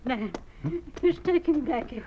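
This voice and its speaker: A woman's voice: sits high, animated. An actress playing an anxious, tender wife and mother, imploring, in an old time radio drama. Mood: pleading. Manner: imploring, deliberate. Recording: muffled, noisy old radio recording.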